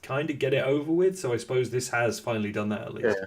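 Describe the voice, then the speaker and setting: A man's voice: medium pitch, quick pace. A man hosts a casual podcast about wrestling. He talks in a rambling, joking manner, breaking off into hesitant little asides. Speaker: podcast host.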